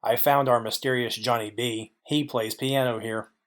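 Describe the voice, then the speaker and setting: A man's voice: mid-range voice, moderate pace, natural voice. A man playing a dry, wry, hardboiled private detective, narrating and questioning in film noir style. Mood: musing. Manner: deadpan, wry.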